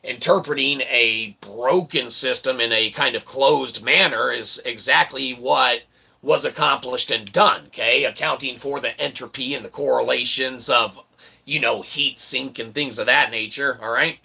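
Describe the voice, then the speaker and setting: A man with a raspy, gravelly voice giving an improvised philosophical monologue in wordy, pseudo-formal phrasing, often checking in with short tag words. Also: medium pitch, fairly even intonation, unhurried, pushed and bright. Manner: rambling, wordy pseudo-formal phrasing with frequent "okay" and "all right" check-ins. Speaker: independent online philosophy presenter.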